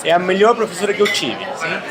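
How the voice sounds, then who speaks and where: High-pitched man, casual interviewer. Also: fast, a crowd in the background. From Argentina. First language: Spanish. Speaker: interviewer and host.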